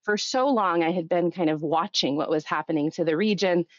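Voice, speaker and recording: A woman's voice: lowish voice, brisk, no echo, dull audio. A woman in her thirties, from Egypt and raised in the US, giving long, earnest, articulate answers as a guest in a podcast interview. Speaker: guest, think tank executive director.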